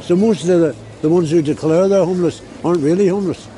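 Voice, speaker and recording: Northern Irish man with a mellow voice. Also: high voice, fast, slight background noise, low-quality recording. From Belfast, Northern Ireland. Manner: plain-spoken, repeats words. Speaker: interviewee. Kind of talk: street interview. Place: busy city street outdoors.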